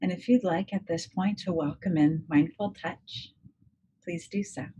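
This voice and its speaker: A woman leading a guided meditation as a psychologist, speaking in a gentle, measured, soothing manner with deliberate pauses. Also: lowish voice, a little hiss or hum, poor audio. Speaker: clinical psychologist guiding a meditation. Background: music.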